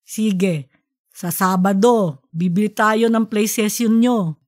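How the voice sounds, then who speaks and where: A woman's voice: mid-range voice, fairly even intonation, slow pace, darkish tone, natural voice. A woman voicing an easygoing, teasing father in a colloquial audiobook story. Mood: playful, fond. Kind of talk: audiobook character dialogue.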